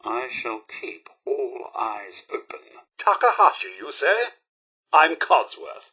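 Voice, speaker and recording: An actor playing a fussy, polite and loyal robot butler in a video game, with a British accent and formal, prim, theatrical delivery. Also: male voice, medium pitch, sing-song, takes it slowly, bright, forceful tone, breathy edge, heavily acted. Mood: courteous, attentive. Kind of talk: video game companion dialogue.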